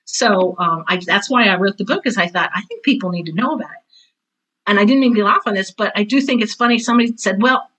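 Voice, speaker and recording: A woman's voice: medium pitch, quick pace, fairly bright tone, rough recording. A woman in her sixties speaking as an interview guest, a chatty, emphatic teacher who tells anecdotes and acts out quotes. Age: 60s. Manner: chatty, emphatic, often quotes herself and others. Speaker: guest, veteran theater teacher and author. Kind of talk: animated conversational interview, anecdotes.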